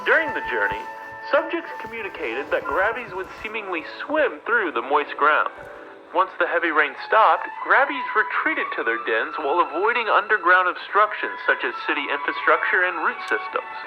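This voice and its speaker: Formal male narrator over loud music. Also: sits high, sing-song, normal speed, dull audio. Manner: formal, clinical delivery. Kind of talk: scripted mock research documentary narration for analog horror.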